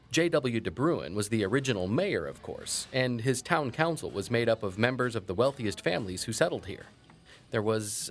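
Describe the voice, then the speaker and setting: A man playing a chatty small-town historian in an audio drama, telling local lore in a folksy, wry, digressive way. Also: lowish voice. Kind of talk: conversational storytelling in an audio drama.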